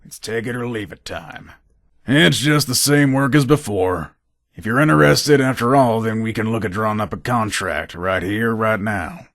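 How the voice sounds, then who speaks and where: A man's voice: lowish voice, normal speed, fairly bright tone, no room sound, clean studio sound. An actor playing a shrewd, businesslike gun trader in a video game, talking in casual, hard-bargaining salesman patter. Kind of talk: video game character dialogue.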